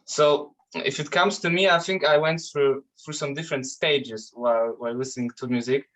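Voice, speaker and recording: A man's voice: mid-range voice, steady tone, moderate pace, a bit bright. A man from Poland with a Polish accent, a metal band vocalist chatting loosely in an interview, swearing and restarting his sentences. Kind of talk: casual interview chat.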